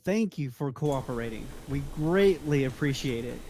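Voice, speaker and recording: A man's voice: medium pitch, expressive, normal speed, a little mellow, slight background noise, lightly acted. A man playing a curt armed robber in an amateur script table reading, with demanding lines. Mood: firm.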